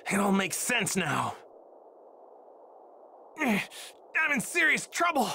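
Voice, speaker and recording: An actor voicing an earnest, good-natured, plain-spoken martial-arts warrior in an anime game. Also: male voice, sits high, wildly swooping pitch, slow pace, vocal fry, sharp tone, slight background noise, full character voice. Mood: worried. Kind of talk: anime game dub acting.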